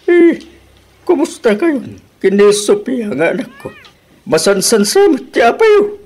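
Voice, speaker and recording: A high, very animated older father-in-law in an Ilocano radio drama. He is nosy and admonishing, his pitch swooping as he talks in an Ilocano accent. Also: unhurried, heavily acted. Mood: inquisitive.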